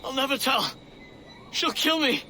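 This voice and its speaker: A man's voice: extremely high pitch, unhurried, bright, forceful tone, slight background noise, exaggerated voice. A man playing a cornered, frightened gang henchman in a video game, strained and pleading. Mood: terrified.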